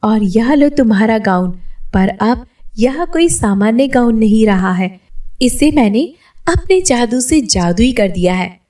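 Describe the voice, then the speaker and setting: A woman voicing a wise, benevolent fairy in a children's story, speaking in a gentle, instructive way. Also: fairly high pitch, expressive, unhurried, recorded from a distance. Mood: kindly, solemn. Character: benevolent, wise female fairy who rewards kindness.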